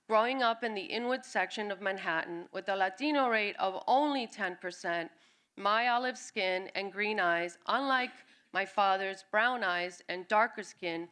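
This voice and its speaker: A middle-aged woman reading her personal essay live on stage, storytelling and slipping into Spanish phrases. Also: mid-range voice. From Cuba. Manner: warm storytelling, switching into Spanish for quoted family lines.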